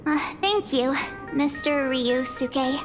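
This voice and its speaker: A plucky, polite young game heroine. Her very high voice sounds earnest and youthful. It carries a long reverb over music. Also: takes it slowly. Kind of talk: video game voice acting.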